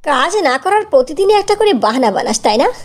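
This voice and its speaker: Cartoon villain stepmother female actor: shrill-high pitch, sarcastic, demanding, always scolding. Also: big pitch swings, brisk. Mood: harsh scolding. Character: cruel bossy stepmother, scolding and greedy. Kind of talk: Bengali fairy-tale cartoon dubbing.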